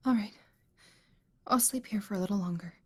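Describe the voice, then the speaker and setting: A woman's voice: medium pitch, brisk, husky, close to an everyday voice. A woman playing a frightened, exhausted young mother in an audio drama, with a fragile, naturalistic delivery. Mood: tired, subdued.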